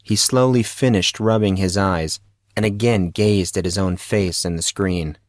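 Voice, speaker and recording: Male narrator for audio fiction: deep register, scripted storytelling, very dry sound. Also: a little slow, no room sound.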